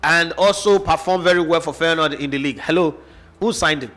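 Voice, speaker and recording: Nigerian male sports host, slightly high voice, heated and argumentative, lots of rhetorical questions. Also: a little fast, fairly bright tone. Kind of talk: opinionated football analysis chat.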